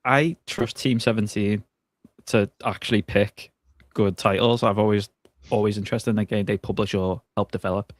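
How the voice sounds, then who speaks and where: A man in his thirties speaks as a guest in a casual gaming chat. His voice is fairly low, and it is recorded dry with no room around it. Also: steady tone, normal speed, dry recording. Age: 30s. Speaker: podcast guest. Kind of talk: casual chat about games.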